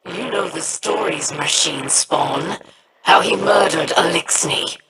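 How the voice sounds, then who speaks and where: Brief: a scornful alien warlord for a game, very slow, heavy noise. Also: medium pitch, creaky. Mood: menacing. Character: menacing alien pirate warlord, proud and scornful.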